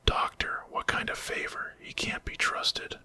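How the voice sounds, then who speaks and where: A man's voice: measured pace, pushed and bright. Man whispering as a feisty young woman adventurer. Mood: wary. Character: resolute, feisty young woman time traveller. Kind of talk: whispered ASMR storytelling.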